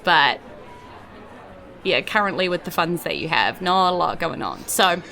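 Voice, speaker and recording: A woman with a New Zealand accent narrating a tabletop game as game master, improvising in a chatty, animated way. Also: fairly low pitch, unhurried, creaky, some chatter behind. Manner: animated, conversational.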